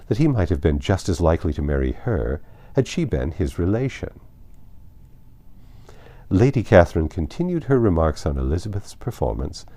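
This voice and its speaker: A man narrating a classic novel aloud as an expressive audiobook reader. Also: deep voice.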